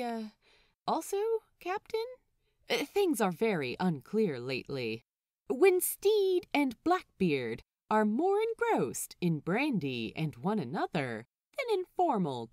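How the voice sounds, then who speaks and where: A woman narrating a written story aloud in an animated, expressive storytelling style. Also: mid-range voice, no echo, studio quality. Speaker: podfic narrator.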